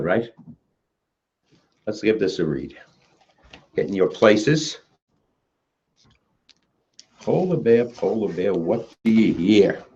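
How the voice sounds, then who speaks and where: A man with a low, husky voice reads a picture book to young children. He takes his time, swinging into sing-song refrains and pausing to put simple questions to his listeners. The recording is a little dull and lo-fi. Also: mellow. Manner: slow, simple explanations for children. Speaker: children's story reader. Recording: dull, muffled lo-fi sound.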